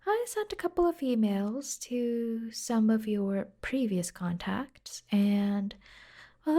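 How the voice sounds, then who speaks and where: A devoted, playful secretary acted by a woman fusses over the boss in an ASMR roleplay. The voice is soft and breathy, unhurried and intimate, often slipping into a whisper. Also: fairly high pitch, full character voice. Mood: helpful, soft. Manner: slow, gentle, playful, intimate. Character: caring, teasing, devoted young female secretary fussing over her boss.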